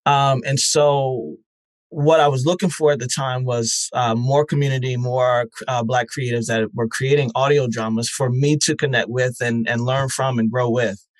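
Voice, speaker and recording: Measured man in a podcast interview. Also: medium pitch, steady tone, no echo. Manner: measured, thoughtful, frequent "uh"s. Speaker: guest, network founder. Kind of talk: interview answers. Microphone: remote call.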